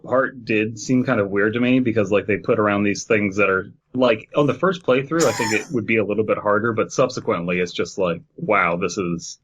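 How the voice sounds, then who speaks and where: A man's voice: fairly low pitch, fairly quick, hardly any pauses, fairly bright tone, slightly rough, lo-fi sound. A man co-hosting a podcast, chatting casually with blunt, profane opinions. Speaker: podcast co-host. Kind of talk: casual, opinionated group chat.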